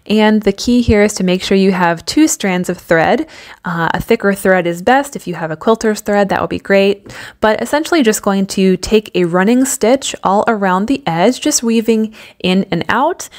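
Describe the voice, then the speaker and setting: A woman narrating a step-by-step home decor craft tutorial as a voice-over, practical and casual, with frequent fillers. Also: medium pitch. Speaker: DIY home decor vlogger.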